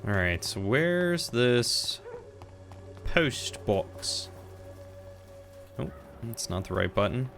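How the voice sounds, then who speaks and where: A man's voice: medium pitch, big pitch swings, extremely slow, vocal fry, pauses often, a bit bright, soft background music. A man in his thirties chatting casually with viewers while commenting on the video game he plays. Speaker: game streamer.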